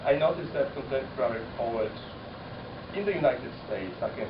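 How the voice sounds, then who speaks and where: A man whose first language is Polish, speaking as a translator at a panel discussion, thoughtful and halting, often rephrasing as he goes. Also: medium pitch, expressive. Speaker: poet-translator. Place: university common room. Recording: echoey room, distant mic, muffled, noisy.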